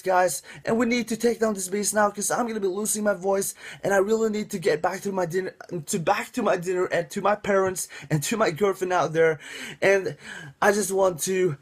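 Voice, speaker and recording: A young man giving excited gameplay commentary from home, calling out to his viewers, his voice going hoarse. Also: high voice, normal speed. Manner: upbeat, calls out to viewers a lot. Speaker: mobile game youtuber. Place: indoors at home.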